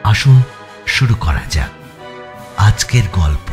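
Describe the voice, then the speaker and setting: A man's voice: on the low side, sing-song, slow pace. A man reading a scripted thriller as narrator, with a suspenseful, theatrical delivery. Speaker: story narrator. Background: background music.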